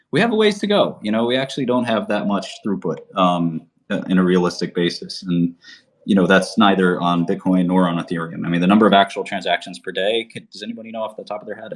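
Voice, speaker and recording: A man from Albania talking casually on a livestream, rambling with many fillers and restarts. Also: sits low. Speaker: podcast host.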